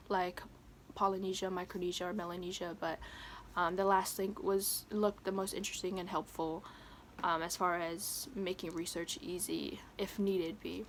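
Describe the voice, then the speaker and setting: Young woman from American Samoa, student, casual and halting, faint room noise. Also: mid-range voice. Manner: hesitant, many ums, plain and friendly. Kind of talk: casual video assignment answers. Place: home in San Francisco.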